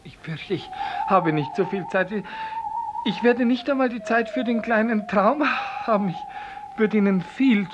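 An actor playing a timid, apologetic, dutiful office clerk with a hesitant, deferential manner in a 1950s German radio drama. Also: male voice, high-pitched, unhurried. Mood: hesitant, regretful. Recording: muffled, noisy 1950s radio recording.

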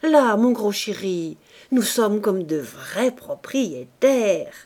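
A woman doing Olympe, a shrill gloating woman. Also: very animated, unhurried. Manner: shrill, loud and giggly. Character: brash, greedy woman, tipsy and gloating.

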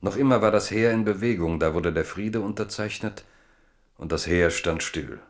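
Male narrator for radio drama: very low voice, measured literary delivery. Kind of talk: scripted narration.

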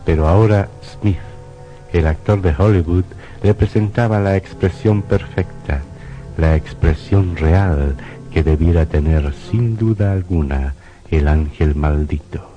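A man's voice: deep voice, unhurried, dark tone. A man narrating a scripted horror radio drama in a solemn, dramatic style. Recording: dull lo-fi radio recording. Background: faint music.

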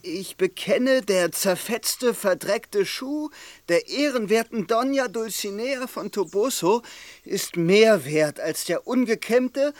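Ein Schauspieler spielt in einem Hörspiel einen hochmütigen rivalisierenden Ritter, der förmlich und blumig spricht. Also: male voice, high voice, animated, slow pace, dry recording. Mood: grudging, defeated. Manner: formal, flowery, archaic speech. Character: haughty male rival knight, mock-courtly challenger. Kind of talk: radio play acting.

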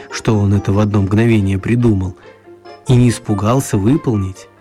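A man narrating a children's radio play as an expressive, wry storyteller. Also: medium pitch, natural voice. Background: music.

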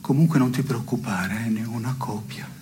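Male actor as wry absurdist stage man: melancholy, deadpan, medium-pitched, slightly noisy theatre broadcast. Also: fairly quick, close to an everyday voice. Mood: deadpan, solemn. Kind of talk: absurdist two-hander theatre dialogue.

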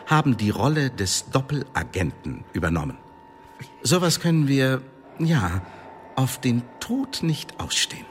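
An actor playing a cold, sardonic Elizabethan secret-service interrogator in a German radio drama, speaking in a pedantic, condescending, formal way. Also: male voice, mid-range voice, fairly bright tone, a little hiss or hum.

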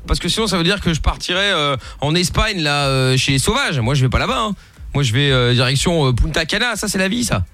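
A radio host making a prank call, playing a cheeky, provoking stranger with hesitant fillers. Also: male voice, a little high, sing-song, a little fast, pushed and bright. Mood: cheeky, mocking. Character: cheeky smug man posing as a stranger.

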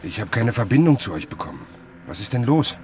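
A man's voice: mid-range voice, very animated, fairly quick, lightly acted. An actor playing an obsessive, suspicious and self-important male journalist in a German radio drama. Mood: agitated.

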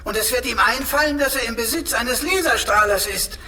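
A man's voice: very high voice, a little fast, sharp tone, heavily acted. A man playing a cheeky talking computer sidekick in a radio play, pompous, wordy and mock-archaic in manner.

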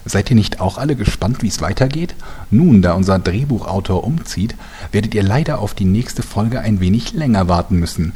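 A man's voice: lowish voice, animated, fairly quick, mellow, a little hiss or hum, natural voice. A man playing the narrator of a fantasy soap parody, delivering scripted storybook lines. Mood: chatty announcer.

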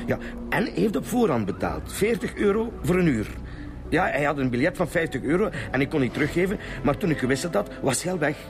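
A man's voice: a little high, big pitch swings, fast, over music. An actor playing a fussy, defensive small businessman under police questioning, with a Flemish accent, in a radio drama. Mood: matter-of-fact, impatient. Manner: indignant, self-justifying, hesitant under pressure. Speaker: murder suspect.

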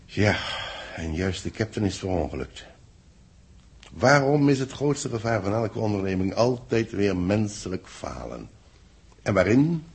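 Authoritative boss, deepish breathy-rough voice, solemn dramatic delivery, sixties radio drama. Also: male voice, big pitch swings, exaggerated voice. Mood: troubled, grave. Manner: solemn, dramatic, measured phrasing. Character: grave, authoritative male boss dictating dramatic reports. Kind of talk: 1960s radio drama acting.

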